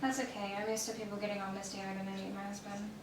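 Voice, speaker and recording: An actress playing a poised, wryly teasing and reassuring star's wife in a stage play. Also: female voice, mid-range voice, level tone, fast, close to an everyday voice. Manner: wry, reassuring. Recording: distant, echoey, noisy stage recording.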